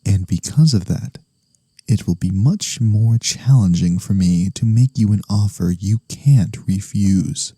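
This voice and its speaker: A man playing a gentle, honorable incubus demon in a soft-spoken ASMR fantasy roleplay, measured, formal and softly teasing. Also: on the low side, slightly rough, no echo. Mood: calm, grave.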